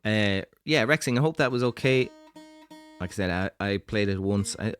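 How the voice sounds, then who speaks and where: A male musician chats casually with his stream between songs, keeping his voice soft and deliberately low. His tone is deep and relaxed as he thanks viewers. A guitar sounds faintly in the background. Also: smooth. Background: faint guitar strumming and tuning.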